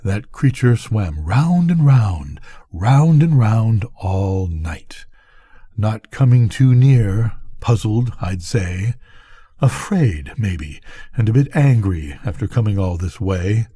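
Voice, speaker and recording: A man's voice: on the low side, animated, slow, dark tone, breathy edge, no echo, studio quality, heavily acted. A man voicing a weary, brooding lighthouse keeper in a storybook, musing in a subdued, wistful way.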